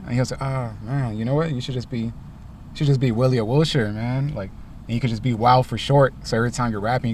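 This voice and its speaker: A young man from Los Angeles, a rapper, answering interview questions in a car in a relaxed way, with lots of ums and likes. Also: medium pitch, recorded from a distance, a little hiss or hum. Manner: laid-back, many ums and likes. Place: inside a parked car.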